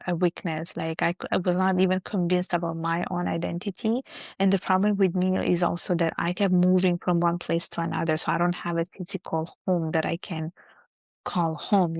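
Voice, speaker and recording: Woman, Italian accent, lowish flat voice, dry close sound, reflective, lots of fillers. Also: moderate pace, no room sound. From Italy. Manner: reflective, many "like" and "you know" fillers. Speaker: guest. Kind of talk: casual interview chat.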